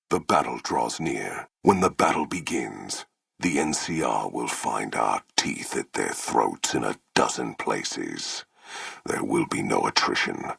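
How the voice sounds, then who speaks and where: An actor playing a grim, proud warlord commander in a video game, with a gravelly, husky, rumbling voice and a solemn, commanding, formal delivery. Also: male voice, a bit bright, dry recording, studio quality, exaggerated voice. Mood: proud menace. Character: towering, menacing male warlord commander, proud, grim, honor-bound. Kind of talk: theatrical video game villain acting.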